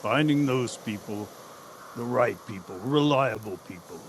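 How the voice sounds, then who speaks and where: An actor playing a proud, cruel slave trader in an audio drama, vengeful and deliberate, with theatrical threats. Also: male voice, medium pitch, expressive, slight background noise, rough recording. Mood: boastful, menacing. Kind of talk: audio drama villain acting.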